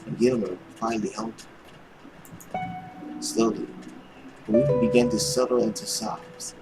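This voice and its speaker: A man's voice: on the low side, fairly even intonation, measured pace, low-quality recording. A man reading a visual novel aloud as dramatic story narration. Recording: loud music behind.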